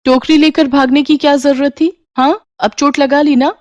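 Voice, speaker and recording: A woman's voice: sits high, fairly even intonation, normal speed, no room sound, muffled recording, voice pushed up, natural voice. A woman voicing a concerned village wife and mother in a storybook tale, urgent in manner. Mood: scolding.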